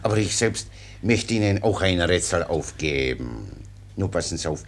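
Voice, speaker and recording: An actor playing a cheerful, simple-minded soldier who rambles with naive, obedient earnestness in a German radio play. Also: male voice, sits low, lively intonation, normal speed. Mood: naively obliging.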